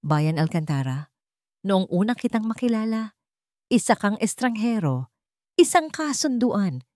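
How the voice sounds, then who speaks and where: Woman acting devoted storybook heroine, determined, earnest, emotional, expressive intonation, dry recording. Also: medium pitch, measured pace, no room sound. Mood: tender, emotional.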